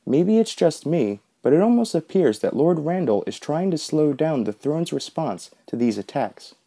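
A man's voice: on the high side, very animated, moderate pace, mellow. A man narrating a scripted book analysis as the host of a video essay.

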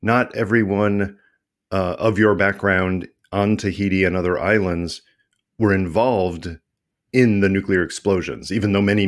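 Male podcast host, very deep voice, eager and chatty. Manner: enthusiastic, self-deprecating, some restarts. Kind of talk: conversational interview.

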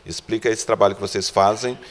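Middle-aged man, Brazilian accent, deep low pitch, local reporter, interview questions, reverberant room. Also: fairly even intonation, fast talker. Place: indoor room with bare walls. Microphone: handheld reporter's microphone.

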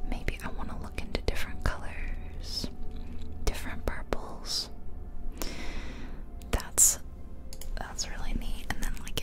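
A woman whispering close to the microphone in an ASMR style, casually musing aloud as she comments on a game. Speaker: ASMR video creator. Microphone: close ear-to-ear ASMR microphone.

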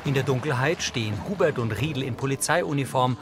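A man's voice: medium pitch, a little fast, music in the background. A man reading scripted audio description of scenes in a matter-of-fact way.

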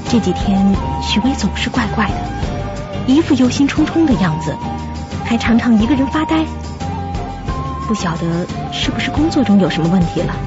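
A woman's voice: medium pitch, natural voice. A woman playing a pensive, uneasy young woman who narrates a radio drama mystery in the first person. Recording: dull lo-fi audio. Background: music.

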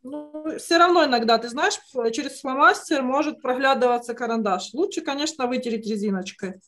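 A woman's voice: sits high, fairly quick, soft background music. A woman teaching an online drawing lesson to children, encouraging and full of praise. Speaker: art teacher. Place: indoors on a video call.

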